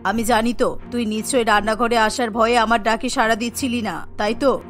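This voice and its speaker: Woman playing a high-voiced nagging mother. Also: steady tone, a little fast, faint music behind, natural voice. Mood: scolding. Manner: scolding, insistent. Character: exasperated, nagging village mother of grown daughter. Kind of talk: Bengali cartoon voice acting.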